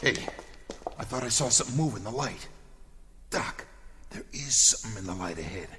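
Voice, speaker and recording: A man playing an excitable, folksy two-fisted engineer in an old-time radio adventure serial. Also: fairly low pitch, moderate pace, slight background noise. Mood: wary. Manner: folksy, exclamatory. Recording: long reverb, music behind.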